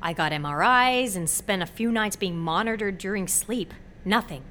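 A woman's voice: medium pitch, moderate pace, a bit bright, slight background noise, natural voice. An actress playing an intense, wry, guarded young woman in her twenties, in natural audio drama dialogue. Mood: earnest, guarded.

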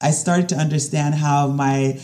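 A woman, a design specialist talking through her ideas in a casual interview, often pausing and restarting. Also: low-pitched, fairly even intonation, warm tone. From Caribbean. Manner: thoughtful, with frequent restarts and fillers. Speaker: design and construction specialist guest.

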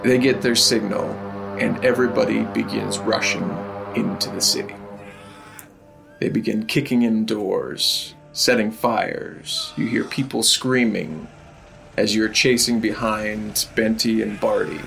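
A man narrating a tabletop game as game master, halting with fillers, over faint music. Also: on the low side, fairly even intonation, gravelly.

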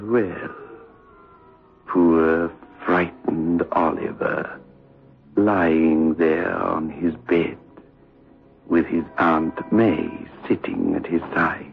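Creepy horror narrator, super slow deep creaky voice, very muffled old radio. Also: male voice, heavily acted. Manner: slow, ominous, savouring. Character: sinister, mock-pitying male horror show host. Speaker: host and narrator.

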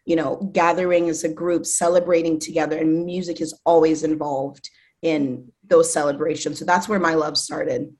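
A woman's voice: low-pitched, little pitch movement, moderate pace, a little mellow. A woman in her thirties from Zimbabwe, a guest speaking casually and enthusiastically, with many fillers. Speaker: guest, artist manager. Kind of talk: casual interview conversation.

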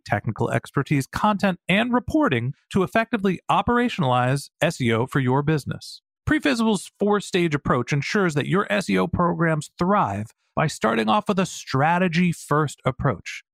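A man delivers a scripted sponsor spot with a polished sales pitch. His voice sits slightly high and swings widely in intonation. It is recorded close and dry. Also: creaky, dry recording. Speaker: sponsor ad announcer. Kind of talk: scripted ad read.

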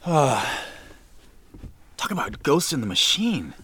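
An actor playing a guarded, exasperated man on the run in an audio drama, with a weary, halting delivery. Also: mid-range voice, big pitch swings, pushed and bright, husky, full character voice.

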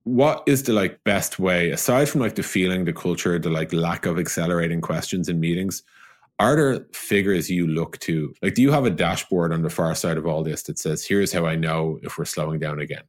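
Man, notably low voice, tech executive co-hosting a conversational business podcast, informal and explanatory. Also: moderate pace.

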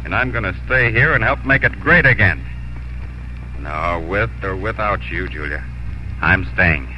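An actor playing a determined young Texan home from war, earnest and resolute, in 1950s radio drama style. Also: male voice, sits low, animated, unhurried, noisy background. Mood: firm resolve. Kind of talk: 1950s radio drama acting and first-person narration. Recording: dull 1950s radio recording, music behind.

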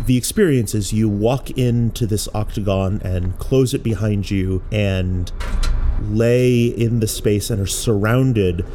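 An American man explaining creative work in a measured, reflective narration, as a sound artist presenting their own work. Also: lowish voice, warm tone, slight background noise. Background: music.

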